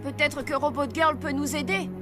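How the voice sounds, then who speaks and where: A woman as high-voiced earnest cartoon boy. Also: fairly even intonation, normal speed, close to an everyday voice. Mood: concerned. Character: earnest clever young boy, kind but quarrelsome. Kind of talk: animated cartoon dub acting. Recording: loud cartoon music behind.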